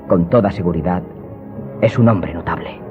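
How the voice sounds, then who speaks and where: An actor playing an excitable young gentleman thinking aloud in a radio drama. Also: deep voice, normal speed, close to an everyday voice. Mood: amused admiration. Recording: muffled, music playing behind.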